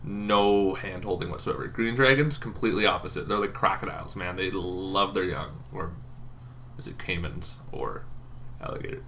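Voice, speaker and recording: A man with a fairly low voice talks through game lore like a channel host. His delivery is informal and unscripted, halting as he thinks aloud. There is some faint noise behind him. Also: bright, forceful tone. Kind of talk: casual off-the-cuff explainer. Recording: noticeable background noise.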